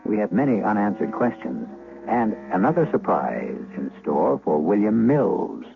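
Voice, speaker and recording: A man narrates as host of a vintage radio mystery, deliberate and ominous. His lowish voice is gravelly and full of dramatic rise and fall, on a tinny broadcast. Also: big pitch swings, noisy background.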